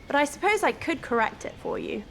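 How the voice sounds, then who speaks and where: An actress playing a bossy, bookish teenage schoolgirl in a video game, prim and brisk with an English accent. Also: sits high, very animated, creaky, a little hiss or hum, heavily acted. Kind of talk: video game cutscene acting. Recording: slight hall reverb, soft game music.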